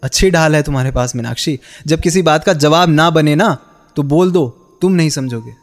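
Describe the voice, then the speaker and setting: A man's voice: fairly high pitch, a little fast, natural voice. An actor playing a bitter, heartbroken young man in a radio drama, sarcastic and accusing as he confronts the woman he loved. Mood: accusing, hurt. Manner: sarcastic, accusing, with pointed rhetorical questions. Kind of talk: Hindi radio play acting.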